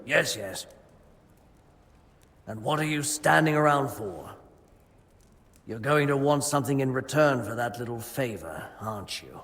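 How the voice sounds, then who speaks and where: Fussy, gravelly monk. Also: male voice, medium pitch, expressive, unhurried, long pauses, fairly bright tone. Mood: grudging. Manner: grudging, formal, pious. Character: fussy, stingy monk guarding monastery food stores. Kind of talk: video game cutscene acting. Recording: long reverb, music behind.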